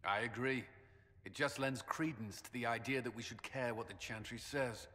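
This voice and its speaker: Stern, bright-voiced military commander. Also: male voice, mid-range voice, normal speed. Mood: blunt. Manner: commanding, sardonic, clipped. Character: stern, weary military commander, former Templar knight. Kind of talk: dramatic video game dialogue.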